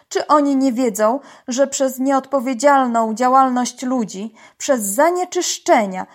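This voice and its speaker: A woman voicing a protective, commanding uncle who leads a young crew in a children's story. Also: a little high. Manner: commanding, emphatic.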